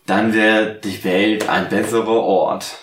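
A man co-hosting a podcast, drunk, rambling casually in a halting, earnest way. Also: lowish voice, flat delivery, a bit bright, roomy sound, recorded from a distance, a little hiss or hum. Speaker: podcast co-host.

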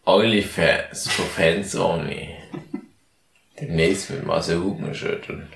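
A man's voice: sits low, fairly even intonation, slow, creaky, fairly bright tone, roomy sound. A man co-hosting a podcast, drunk, bantering casually in a theatrical, clowning way. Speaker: podcast co-host.

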